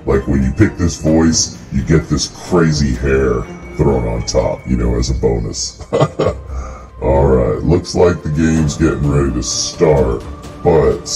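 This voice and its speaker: A man streaming a video game with casual live commentary, his voice run through a voice changer that makes it monster-like. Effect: voice changer, deep monster-like pitch shift. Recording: game music behind the voice.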